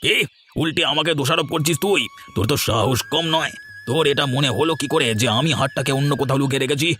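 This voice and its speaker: A man's voice: fairly high pitch, fast talker, fairly bright tone, slight background noise, natural voice. A man voicing an angry, bullying goldsmith boss in a cartoon, scolding and accusing in a blustering way. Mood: furious, accusing. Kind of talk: animated cartoon dialogue. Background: music.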